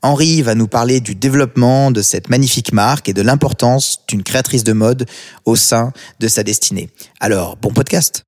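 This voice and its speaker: A man reading a smooth scripted introduction to a fashion podcast. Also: medium pitch, moderate pace, darkish tone. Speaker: podcast host.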